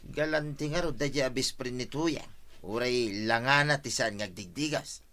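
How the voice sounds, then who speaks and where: Lalaking gumaganap na maluwag na asawa. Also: male voice, medium pitch, a little slow, sharp tone. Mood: casual. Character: easygoing husband buying snacks on credit. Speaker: husband of the household. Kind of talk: Ilocano radio drama acting.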